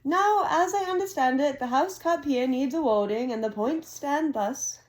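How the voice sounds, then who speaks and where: A woman reading aloud as an old, wise male headmaster, speaking in a calm, measured, formal way as he announces to a hall. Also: very high-pitched, pitched above the performer's own voice. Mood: formal, kindly.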